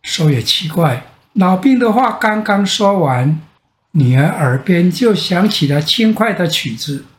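An older man with a Taiwanese Mandarin accent tells a children's bedtime story in a gentle, expressive way with drawn-out phrase endings. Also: sits high, slight background noise. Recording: echoey room sound.

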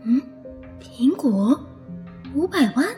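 Slow, high-voiced weary daughter. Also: female voice, animated, dark tone, soft background music. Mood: hesitant, weary. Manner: hesitant. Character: weary, evasive young adult daughter hiding her troubles. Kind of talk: radio drama acting.

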